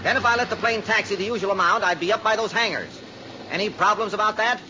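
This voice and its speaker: An American actor playing a brisk, insistent insurance man who flies planes, urgent and persuasive, in vintage radio drama style. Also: high-pitched, lightly acted. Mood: brisk, instructing. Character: brisk, insistent male insurance adjuster and pilot. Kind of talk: 1940s-50s radio drama acting. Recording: muffled, noisy old radio recording.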